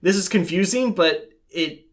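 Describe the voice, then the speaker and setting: Male podcast co-host, higher than average voice, tentative and measured, relaxed conversational reviewing. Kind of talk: casual chat, film review.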